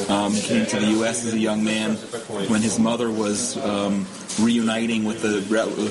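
Middle-aged American man, lowish and monotone, steady interview guest, heavy crowd noise. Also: rough recording. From United States. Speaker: guest, nonprofit head. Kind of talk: interview answers. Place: restaurant. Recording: loud restaurant crowd noise. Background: loud restaurant crowd.

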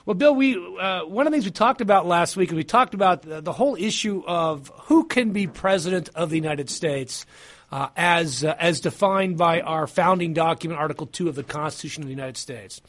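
A man with a high voice hosting a talk radio show. He stumbles along with frequent uhs and restarts. His tone stays easygoing. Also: fairly bright tone. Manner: halting, many fillers and restarts, easygoing.

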